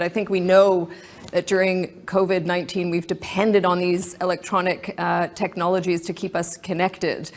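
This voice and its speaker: A woman with a slightly low voice moderates a forum session. She speaks in a smooth, measured way as she wraps up one speaker and brings in the next. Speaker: panel moderator.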